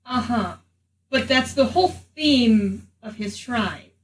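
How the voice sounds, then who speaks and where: A woman's voice: on the high side, expressive, slow, far from the mic. A woman playing a tabletop role-playing game, bantering casually in a wry, blunt way. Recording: echoey room, off mic, background noise.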